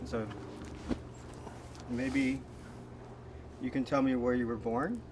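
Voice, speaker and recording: Male interviewer, short prompts, mid pitch, distant from mic, traffic noise behind. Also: fairly even intonation, slow, darkish tone. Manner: brief, encouraging prompts. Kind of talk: casual interview questions.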